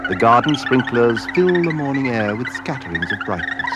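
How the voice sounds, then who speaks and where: A man narrating a radio drama in a solemn, measured way, with deliberate dramatic pauses. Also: mid-range voice, big pitch swings. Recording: radio drama with music behind. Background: music.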